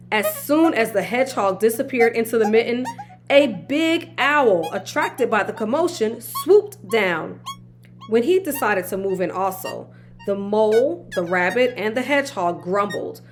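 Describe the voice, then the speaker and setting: A woman reads a picture book aloud for young children. Her voice is fairly high and very lively in pitch, with an unhurried, expressive delivery. Music plays clearly behind her. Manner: slow, animated storytelling. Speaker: children's read-aloud host. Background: background music.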